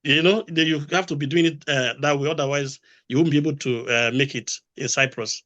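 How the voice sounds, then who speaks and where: A man's voice: a little high, steady tone, brisk, fairly bright tone, dull audio. A man with a Nigerian accent speaking as a mentor over a video call, hesitant with many fillers and repeated words. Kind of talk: casual panel talk.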